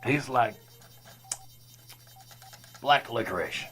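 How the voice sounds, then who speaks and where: A reckless, self-satisfied lunkhead acted by a man from a comic radio play. He plods along at an unhurried pace and ordinary male pitch, blunt, simple and unbothered. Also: lively intonation, fairly bright tone, faint music behind. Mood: dim, unbothered. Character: dim, reckless, self-satisfied oaf who talks in third person.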